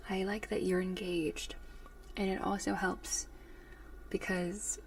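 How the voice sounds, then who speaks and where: A woman streaming ASMR, chatting casually with her viewers in a soft voice that often drops to a whisper, close to the microphone. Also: mid-range voice, vocal fry, a little hiss or hum, rough recording.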